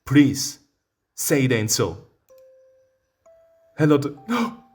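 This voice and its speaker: A man playing through a visual novel as a streamer, excitable and theatrical, reacting with real emotional investment. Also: mid-range voice, takes it slowly, slightly rough, faint music behind. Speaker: let's play streamer. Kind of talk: visual novel playthrough, reading aloud and reacting.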